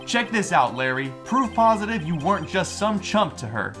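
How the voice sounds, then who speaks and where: A man's voice: sits high, very animated, normal speed, pushed and bright, faint music behind, heavily acted. A man voicing an earnest, awkward young male lawyer in a fan-dubbed game, hesitant and a little wry. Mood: persuading. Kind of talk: fan-dub video game dialogue and inner narration.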